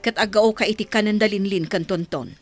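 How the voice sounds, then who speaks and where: A woman's voice: medium pitch, fairly quick, soft background music, close to an everyday voice. A woman playing a harsh, domineering mother in a radio drama, scolding and commanding. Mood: stern, commanding. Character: harsh, domineering mother who resents her adopted son. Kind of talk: Ilocano radio drama acting.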